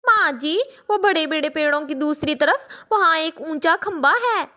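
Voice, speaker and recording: एक महिला द्वारा निभाई गई ऊँची आवाज़ वाली प्यारी माँ चिड़िया. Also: female voice, high-pitched, expressive, a little slow, bright, forceful tone, pitched above the performer's own voice, full character voice. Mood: eager. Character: sweet, caring mother sparrow. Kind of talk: cartoon story voice acting.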